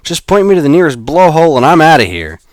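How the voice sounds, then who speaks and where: A man voicing a frightened, jumpy young man who shouts and squeals. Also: mid-range voice, huge pitch swings, takes it slowly, no echo, clean studio sound, voice pushed up, exaggerated voice. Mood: panicked.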